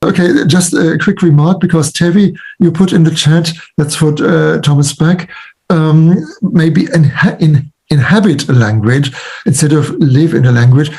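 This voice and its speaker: Male moderator, husky, slightly high, genial and joking, video-call sound. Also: normal speed, warm tone. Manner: affable, jokey, many back-channel murmurs. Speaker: panel moderator. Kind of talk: online panel discussion.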